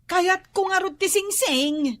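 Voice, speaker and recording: A woman's voice: very high voice, takes it slowly, fairly bright tone, no room sound, full character voice. An actress playing a vain, chatty Ilocano woman who giggles and boasts in a radio comedy. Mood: pleading. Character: vain chatty woman, office worker and wife. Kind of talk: radio comedy drama acting.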